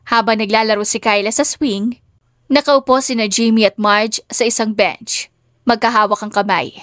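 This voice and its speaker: A woman audiobook narrator with a fairly high voice. She reads a scripted dramatic story, and the recording is very dry. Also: steady tone, moderate pace, fairly bright tone, no echo.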